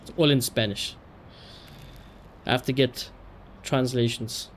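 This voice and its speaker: A man giving casual livestream commentary in an animated, slangy, sweary way. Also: medium pitch, slow, slight background noise. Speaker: live streamer.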